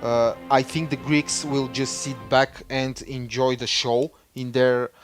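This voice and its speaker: Host, male, slow and full of "uh"s, light music underneath. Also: medium pitch, little pitch movement. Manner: hesitant, many "uh"s, slow. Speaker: livestream host. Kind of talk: casual live-stream commentary.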